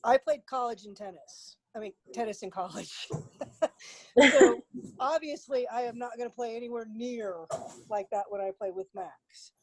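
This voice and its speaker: A woman's voice: a little high, moderate pace, a little hiss or hum, poor audio. A woman speaking candidly and informally as a participant asking questions on a conference call. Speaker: volunteer tennis partner asking questions on a call. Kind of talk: casual conference-call discussion.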